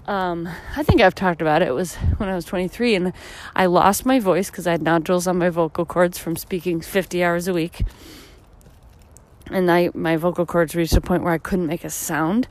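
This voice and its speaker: A woman in her thirties talks through her thoughts while out on a walk, meandering and full of fillers. Her voice sits low and a touch husky from tiredness. She sounds like a podcast host thinking aloud. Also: steady tone, normal speed. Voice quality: slightly deep, husky from tiredness. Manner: reflective, rambling, many fillers. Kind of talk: casual solo podcast monologue. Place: walking outdoors on a street.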